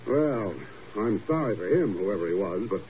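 An actor playing an irascible middle-aged intelligence bureau chief in a 1950s radio drama, brusque and commanding. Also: male voice, on the low side, expressive, moderate pace, dark tone, close to an everyday voice. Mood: relieved, questioning. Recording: dull, very noisy old 1950s radio recording.

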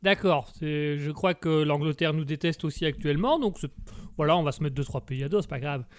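A man's voice: a little high, brisk, no room sound, studio quality. A man hosting a live call-in radio show who speaks hesitantly and gets flustered, with frequent filler sounds. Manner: hesitant, flustered, lots of "euh".

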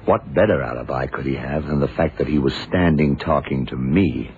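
Very deep male voice, shrewd laconic detective, probing, radio drama, muffled noisy old recording. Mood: pondering. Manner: deliberate, probing, questioning. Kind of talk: 1960s-70s radio drama acting.

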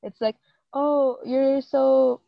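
A young woman from Hong Kong chatting casually with her sibling, animated and full of exclamations. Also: sits high, drawn-out words, gravelly, no room sound, highs cut off. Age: late teens. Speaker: co-host, sister. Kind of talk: casual chat.

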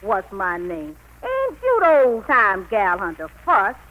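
A woman's voice: medium pitch, huge pitch swings, slow pace, a bit bright, heavily acted, low-quality recording. A woman playing a sassy, brazen young gal with a Southern US accent and a mocking manner, in 1940s radio drama style. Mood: sassy, defiant. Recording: vintage 1940s radio broadcast.

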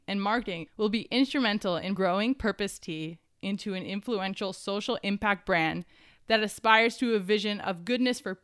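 Upbeat woman podcast host, smooth voice. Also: mid-range voice, fairly bright tone, no echo, clean studio sound. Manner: upbeat, enthusiastic. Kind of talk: podcast intro and interview.

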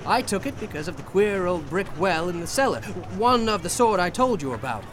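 Guy doing an intense boastful horror painter, voice swings all over, kinda high, street noise behind. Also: lively intonation, a little fast. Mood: confiding. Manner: fervent, persuasive monologuing. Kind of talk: radio drama acting.

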